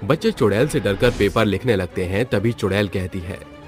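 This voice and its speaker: A man narrating a cartoon story in an even, scripted storytelling way. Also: fairly low pitch, normal speed. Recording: background music.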